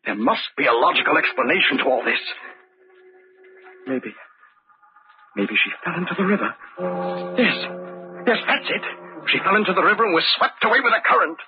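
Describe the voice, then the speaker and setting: An actor playing a guilty, paranoid husband in an old-time radio drama, outwardly polite but unraveling. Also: male voice, high voice, lively intonation, normal speed, pauses often, music in the background. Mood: frantic, unraveling. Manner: agitated muttering to himself, swinging into cries. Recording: dull, noisy old radio recording.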